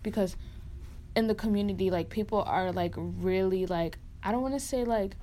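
A woman from Connecticut hosting a casual group podcast, talking in a chatty way full of "like" and animated little stories. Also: mid-range voice, moderate pace, a little hiss or hum. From Connecticut, USA. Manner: chatty, lots of "like", animated storytelling. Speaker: podcast host.

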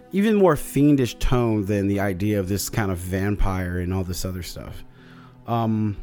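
A man with a slightly hoarse voice casually recapping his tabletop campaign as a solo podcast host, rambling with many fillers and restarts. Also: medium pitch.

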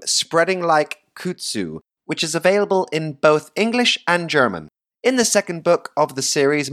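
A man's voice: on the high side, a bit bright. A man hosting a podcast with a mid-Atlantic accent and a slight American twang, reading an upbeat intro and asking questions. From Luxembourg. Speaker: podcast host. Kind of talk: scripted intro and interview.

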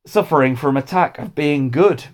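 A man voicing a mild, kindly male schoolteacher with magical powers in a children's story, gentle and measured. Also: a little high, close to an everyday voice. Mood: rueful.

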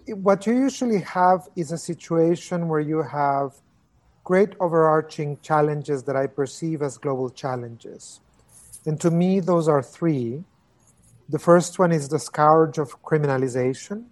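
A man from Costa Rica explains his views in a remote interview, heard through a video call connection. He speaks thoughtfully but haltingly, filling pauses with ums and repeating words as he works toward each point. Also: on the high side, darkish tone. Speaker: interviewed human rights expert.

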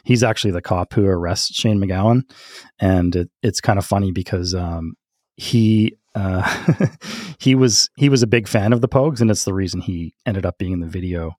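A man co-hosting a podcast, telling music anecdotes in a halting, casual way with frequent chuckles. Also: low voice, a little mellow, no echo, clean studio sound. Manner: halting, chuckles often while talking. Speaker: podcast co-host.

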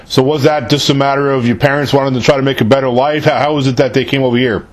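A man's voice: mid-range voice, fairly even intonation, quick pace. A man hosting a casual interview show, asking questions in an informal, chummy way with plenty of "man.". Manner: informal, often says "man".

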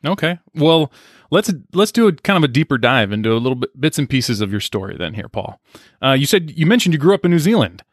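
A man's voice: a little high, sing-song, fast talker, no room sound, studio quality. Earnest man hosting a podcast. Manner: earnest, reflective, conversational. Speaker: podcast host. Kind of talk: podcast intro and interview.

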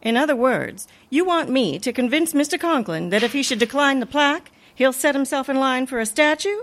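A woman playing a wry, sensible but soft-hearted schoolteacher in an old-time radio comedy, gently admonishing. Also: high-pitched, lively intonation, brisk. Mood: reproving.

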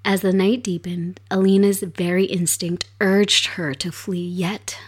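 Hoarse woman host with a cold, slow and low-pitched, soft storyteller at a home desk. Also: flat delivery. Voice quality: raspy. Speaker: podcast host. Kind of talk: casual chat and storytelling. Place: home desk set up for streaming.

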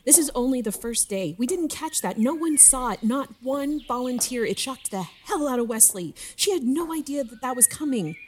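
A woman's voice: high voice, lively intonation, normal speed, hardly any pauses, a little mellow, a little hiss or hum. An actress playing an anxious, agitated woman who speaks in clipped, urgent, repetitive bursts in an audio drama. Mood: panicky. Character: agitated, anxious woman pacing to stave off panic.

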